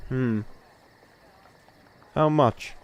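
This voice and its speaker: A man's voice: medium pitch, drawn-out words. A man playing a cocky mercenary elf warrior in a fantasy drama, with a dry, disdainful manner. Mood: cool, bargaining.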